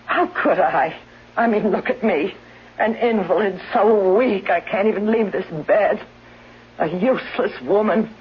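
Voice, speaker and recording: An actress playing a suspicious, bedridden wife, weak and breathy, accusing and pleading in a 1970s radio drama. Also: female voice, mid-range voice, a little slow. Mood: bitter self-pity. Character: ailing bedridden suspicious wife, bitter and desperate. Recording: dull vintage radio broadcast recording.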